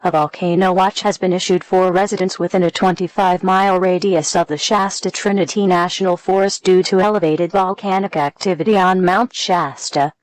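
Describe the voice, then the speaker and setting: A woman reading a scripted emergency broadcast announcement in a formal, measured, impersonal manner. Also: fairly low pitch, fairly even intonation, dry recording, rough recording.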